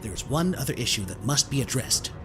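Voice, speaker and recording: A man playing a smooth-talking, mock-polite con man villain in an audio drama, wordy and falsely kindly. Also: medium pitch, animated, brisk, music in the background, lightly acted. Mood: grandly persuasive. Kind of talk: scripted audio drama acting.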